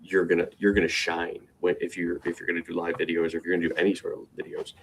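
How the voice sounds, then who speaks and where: Man, podcast co-host, very low voice, casual upbeat chat, fillers and restarts. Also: monotone, fast, vocal fry, a bit bright, a little hiss or hum, rough recording.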